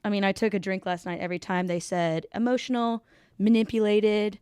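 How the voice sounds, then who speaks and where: A woman's voice: mid-range voice, little pitch movement, a little fast, darkish tone, clear, resonant tone. A woman on a podcast panel, chatting casually. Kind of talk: casual chat.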